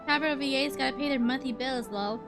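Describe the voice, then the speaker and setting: A woman's voice: high voice, quick pace. A woman streaming a game, chatting casually in a playful, informal way. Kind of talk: casual livestream gameplay chat. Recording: game music behind voice.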